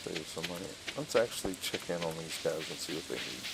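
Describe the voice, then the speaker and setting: A man giving casual let's-play commentary, thinking aloud in short, low-key remarks. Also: big pitch swings, fairly quick, creaky. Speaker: gaming streamer. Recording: loud noise with rain-like clicking.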